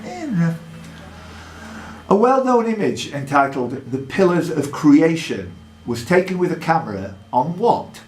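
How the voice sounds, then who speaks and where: A man hosting a live quiz in a pub, reading questions out deliberately and adding jokey asides. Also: fairly high pitch, big pitch swings, a little mellow. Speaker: quizmaster. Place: indoor pub. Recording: echoey pub room with background noise.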